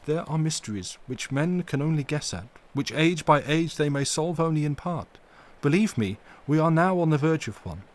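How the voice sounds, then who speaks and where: A man's voice: fairly high pitch, lively intonation, voice pushed up, natural voice. A man doing a grave old foreign professor. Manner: solemn, formal, halting phrasing. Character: elderly grave foreign male professor, stern yet tender.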